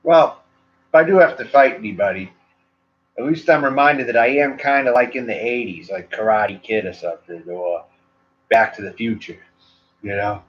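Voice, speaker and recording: A man's voice: on the low side, normal speed. A man from Maine with a Maine accent, chatting loosely to livestream viewers as a cigar reviewer, meandering and full of "like" and "you know.". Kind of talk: rambling casual livestream chat. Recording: dull lo-fi livestream audio.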